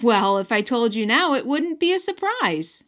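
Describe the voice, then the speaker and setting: A woman hosting a children's story time, narrating a scripted picture book in a deliberate, expressive style. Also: on the high side. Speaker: storyteller, host.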